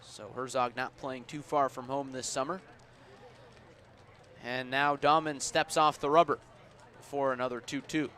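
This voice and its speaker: A man calling baseball live on the radio, measured between pitches and punchier on plays, as an announcer does. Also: steady tone, bright, forceful tone. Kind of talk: live radio sports commentary. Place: outdoor baseball ballpark. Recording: outdoor ballpark ambient noise.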